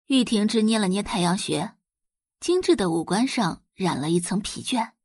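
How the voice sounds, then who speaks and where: A woman reading scripted story narration as an expressive audiobook narrator. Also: medium pitch, normal speed, breathy edge, no room sound, clean studio sound.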